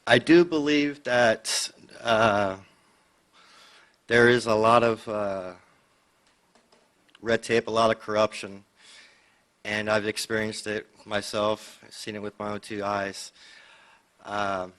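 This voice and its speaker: A man from California who served in the army gives personal testimony at a county board meeting at a podium microphone, plain-spoken and halting, with many fillers. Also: lowish voice, fairly even intonation, fairly bright tone. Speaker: public commenter, army veteran. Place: public meeting chamber.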